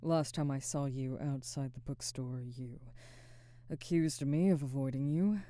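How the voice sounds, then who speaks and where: A young woman playing a guarded, burdened werewolf pack alpha in a soft, hesitant, intimate ASMR roleplay close to the microphone. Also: very deep voice, dry recording. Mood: apologetic, burdened. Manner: hesitant, soft-spoken, halting, breathy sighs. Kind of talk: ASMR audio roleplay, intimate scripted monologue. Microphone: close microphone, dry.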